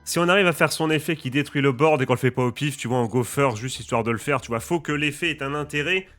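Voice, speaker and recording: A bright, forceful-sounding man with a slight head cold, talking casually and eagerly to his viewers. He keeps a chatty, lively tone as he lays out the rules, while quiet music plays in the background. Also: medium pitch, fast. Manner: lively, addresses viewers as friends and guys. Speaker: gaming YouTuber and streamer.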